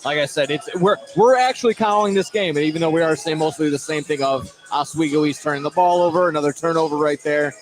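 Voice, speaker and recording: A male color commentator with a fairly high voice analyses a live basketball game on radio. He is chatty and tends to repeat and rework his points. Music is clearly audible behind him. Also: quick pace, hardly any pauses, poor audio.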